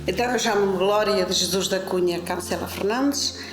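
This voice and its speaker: A woman's voice: medium pitch, moderate pace, faint music behind. A woman, explaining a craft step by step in a documentary interview, hesitant and repeating words as she goes. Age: 70s. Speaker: interviewee, traditional fish curer. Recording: echoey room.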